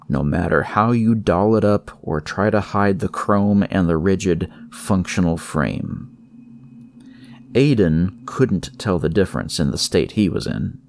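A man narrating scripted horror fiction in a measured way, with dramatic pauses. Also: sits low, creaky.

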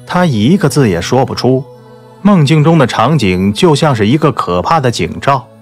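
Expressive male audiobook narrator. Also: medium pitch, moderate pace, faint music behind. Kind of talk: scripted dramatic narration of a romance novel.

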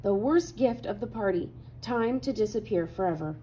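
A woman's voice: medium pitch, animated, moderate pace, warm tone, slight background noise, close to an everyday voice, poor audio. A woman voicing an anxious, self-doubting cartoon bean from a picture book, hesitant and exclaiming. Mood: defeated.

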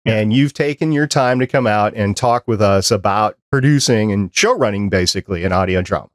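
A man's voice: fairly low pitch, a little fast, no room sound. A man in his fifties hosting a conversational podcast interview into a close desk microphone. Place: small home office, indoors. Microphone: close desk microphone on a video call.

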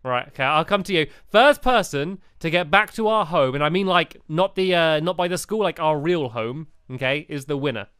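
A woman's voice: low-pitched, expressive, normal speed, hardly any pauses, fairly bright tone, clear, resonant tone, no echo, clean studio sound. A woman chatting casually while playing a video game together, giggling often and talking in loose, rambling bursts. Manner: giggly, chatty, lots of fillers. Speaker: gaming video co-host. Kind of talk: casual gameplay chat.

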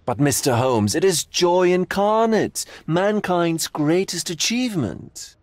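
A man playing a sly, hedonistic, eccentric art gallery owner in a video game, with drawn-out theatrical philosophizing. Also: sits high, expressive, no echo. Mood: teasing, rapturous. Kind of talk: video game cutscene acting.

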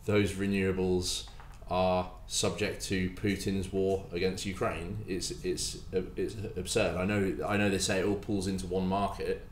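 Deep-voiced man, emphatic and restarting. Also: measured pace, few pauses. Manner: animated, emphatic, repeats words as he restarts. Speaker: baker and bakery owner. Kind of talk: casual podcast interview. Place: bakery room with hard walls. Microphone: desk mic on stand. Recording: roomy echo, faint room noise.

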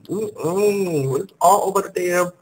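A man's voice: sits high, slow pace, slight background noise, pitched above the performer's own voice, low-quality recording. A man voicing a sassy, blunt female phone company customer service rep who scolds callers in heavy slang. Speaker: comedian. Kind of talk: sketch comedy monologue.

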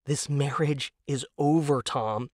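A man's voice: medium pitch, slow pace, no room sound, clean studio sound, pitched above the performer's own voice, lightly acted. A man voicing an exasperated, scornful young wife in an audio drama, with a biting, emphatic and incredulous delivery. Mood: angry, cutting.

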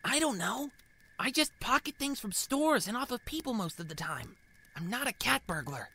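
A man's voice: very high voice, big pitch swings, normal speed, bright, forceful tone, husky, pitched above the performer's own voice, heavily acted. A man voicing a young male pickpocket, defensive and unsure, in a storybook reading.

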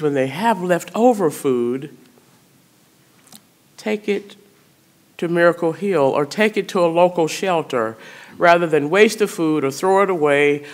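A middle-aged woman priest, preaching a sermon in a church sanctuary, speaking conversationally and putting questions to the congregation. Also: sits low. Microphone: stand mic in front of pulpit.

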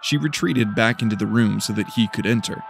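A man's voice: lowish voice, a little fast, gravelly. A man reading scripted fiction as a dramatic audiobook narrator. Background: faint music.

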